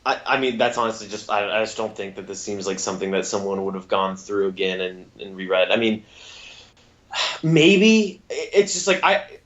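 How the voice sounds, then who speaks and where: A man co-hosting a podcast in casual, blunt chat, halting and repeating words emphatically, on slightly dull audio. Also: on the low side, a bit bright. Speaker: podcast co-host reviewing audio dramas. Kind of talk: casual, sweary review chat.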